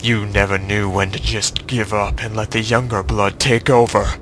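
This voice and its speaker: A man's voice: on the low side, level tone, moderate pace, background noise, close to an everyday voice. A man playing an arrogant, mocking vampire villain in a taunting, theatrical manner. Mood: scornful.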